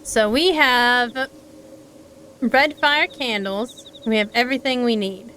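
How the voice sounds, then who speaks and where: A woman's voice: fairly high pitch, slow pace, bright, forceful tone, a little hiss or hum. A woman streamer casually commenting on a visual novel and reading its lines aloud. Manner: casual, playful asides. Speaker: streamer, VTuber. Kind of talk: live game commentary mixed with reading dialogue aloud. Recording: background game music.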